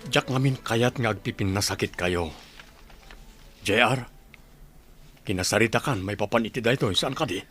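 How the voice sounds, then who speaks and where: A man playing a gentle, conciliatory village father in a Filipino radio drama. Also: on the low side, moderate pace, long pauses, soft background music, lightly acted. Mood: earnest, conciliatory.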